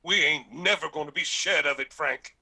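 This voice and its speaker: A man playing a stern, weary, grieving backwoods patriarch in a radio drama, with a raspy voice and an Appalachian accent. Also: on the high side, moderate pace, pushed and bright, full character voice. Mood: haunted.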